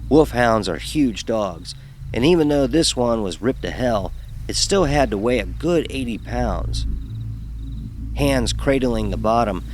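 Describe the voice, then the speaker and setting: A man's voice: lowish voice, slight background noise. A man narrating a first-person horror story in a dramatic, wry manner.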